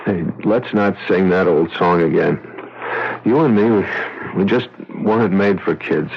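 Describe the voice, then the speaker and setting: An actor playing a blunt, agitated husband, a driven and haunted talent agent, in old-time radio drama style. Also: male voice, deep voice, a little slow, gravelly, slight background noise. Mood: irritated, cynical. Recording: dull vintage radio recording.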